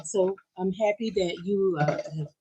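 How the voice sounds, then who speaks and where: A woman's voice: mid-range voice, a little slow, warm tone, rough recording. A chatty woman co-hosting a casual livestream, greeting viewers by name, recorded on a camera-mounted mic in a home toy museum room.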